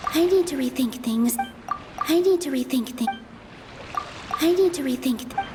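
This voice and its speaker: A woman voicing a gentle, earnest young dancer in an anime video game, speaking politely. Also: high-pitched, lively intonation, normal speed, music in the background. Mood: anxious thinking.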